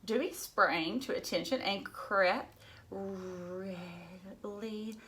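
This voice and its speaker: A woman teacher reading a children's storybook aloud, expressive and dramatic, as if to young listeners. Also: medium pitch, slow.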